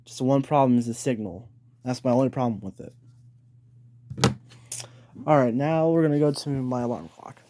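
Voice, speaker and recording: A man's voice: mid-range voice, a little mellow, slight background noise. A man chatting casually as he hosts a hobby video, informal and hesitant, thinking aloud. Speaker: hobbyist video host showing a radio collection. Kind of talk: casual vlog chat.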